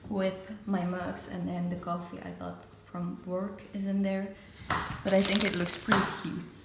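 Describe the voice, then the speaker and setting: A young woman in her twenties with a slightly raspy just-woken voice and hiccups, chatting casually while showing gifts, recorded on a handheld camera. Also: fairly low pitch, completely flat delivery, a little slow, reverberant, very noisy. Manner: chatty, self-narrating while wrapping gifts. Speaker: vlogger. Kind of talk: casual vlog chat. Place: home kitchen and living room.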